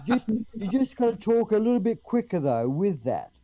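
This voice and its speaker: A man's voice: high-pitched, sing-song, normal speed, mellow, no room sound, highs cut off. An Australian man chatting casually with the other people on a livestream call. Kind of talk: casual chat.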